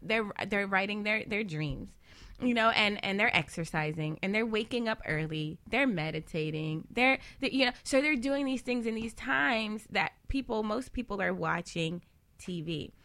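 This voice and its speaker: A woman's voice: medium pitch, animated, normal speed, a bit bright. A woman speaking as a financial advisor on a panel talk, with an emphatic coaching manner that repeats key points. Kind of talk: lively panel talk on money habits.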